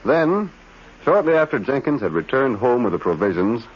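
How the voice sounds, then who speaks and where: A man's voice: medium pitch, sing-song, normal speed, husky. A man narrating a radio drama in a dramatic 1940s style. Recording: muffled, noisy old radio recording.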